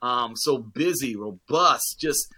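A man's voice: mid-range voice, lively intonation, slow pace, bright, forceful tone, slightly rough. A man, a pastor, talking earnestly in a casual discussion, with many fillers and restarts.

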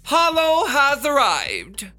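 An actor playing a flamboyant, fussy, excitable radio star with emphatic, theatrical delivery in a children's audio drama. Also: sits high, takes it slowly, soft background music, heavily acted. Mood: grand, affectionate.

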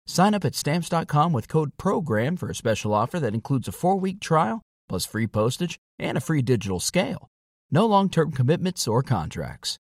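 A man's voice: medium pitch, husky, no echo, studio quality. A man reading a scripted podcast advertisement as an announcer.

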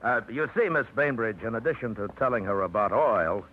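An actor playing a brash, wisecracking trucking-company owner in a 1950s radio play, old dull broadcast sound with faint hiss. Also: male voice, lowish voice, animated, fairly quick. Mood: cocky. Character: brash cocky male trucking boss. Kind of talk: 1950s radio drama acting.

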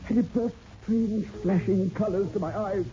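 A man's voice: high-pitched, fairly even intonation, normal speed, slight background noise, natural voice. An actor playing a spellbound, lovestruck man in an old-time radio horror play, fervent and submissive. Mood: entranced, haunted. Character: spellbound, lovestruck man telling his own horror tale. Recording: dull old radio recording under loud music.

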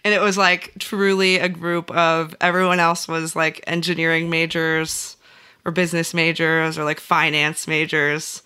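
A woman's voice: deep voice, level tone, unhurried, sharp tone, clear, resonant tone. A woman with an American accent chatting casually as a podcast host. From central Illinois, United States. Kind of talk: casual chat.

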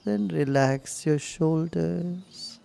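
A woman leading a guided meditation in a soothing, gently instructing manner. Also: sits low, drawn-out words, creaky, faint music behind.

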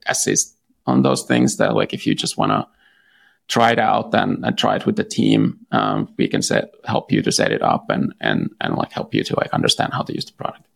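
A man with a Finnish accent answers interview questions in a relaxed, hesitant way, pausing and restarting often with lots of fillers. His low voice carries a strong gravelly fry, and his pitch swings widely from phrase to phrase. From Finland. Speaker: guest, startup founder.

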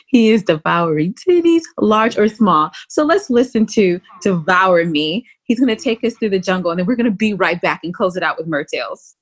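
A woman hosting a casual podcast interview, putting blunt, probing questions to her guest. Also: mid-range voice. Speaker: podcast host.

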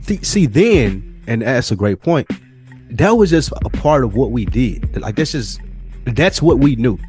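A man's voice: medium pitch, big pitch swings, a little slow, darkish tone, soft background music. A man in candid, casual podcast conversation, swearing freely in slang and repeating himself for emphasis. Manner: slangy, profane, emphatic repetition. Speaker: podcast co-host.

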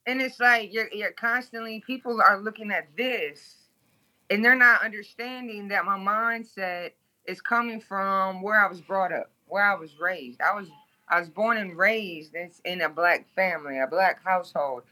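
Blunt, bright-voiced interview guest. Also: mid-range voice, normal speed. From Toledo, Ohio. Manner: blunt, outspoken, swears freely. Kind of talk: candid interview talk.

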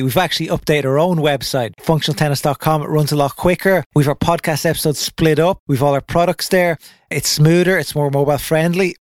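A man's voice: a little high, no room sound. Upbeat male podcast host, dry sound. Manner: upbeat, promotional, chatty. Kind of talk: podcast intro and interview.

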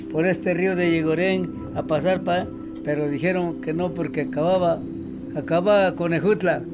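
A man with an Oaxacan accent tells a village story haltingly, repeating himself, his voice on the higher side. Music plays clearly beneath him. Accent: Oaxacan Mexican Spanish. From Oaxaca, Mexico. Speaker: interviewee. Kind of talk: recounting local lore.

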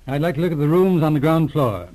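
An actor playing a businesslike police inspector in a 1940s radio drama, courteous, calm and matter-of-fact. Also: male voice, fairly high pitch, fast, lightly acted, rough recording. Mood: brisk, courteous. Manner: matter-of-fact, clipped questioning.

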